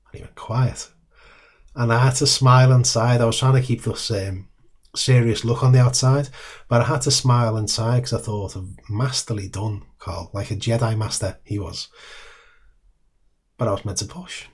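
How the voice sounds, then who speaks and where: Croaky british guy, hoarse and husky, chatting loosely through a story. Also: medium pitch, moderate pace. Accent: British English. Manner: relaxed, with frequent ums and acted-out dialogue. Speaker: host. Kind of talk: casual storytelling to camera.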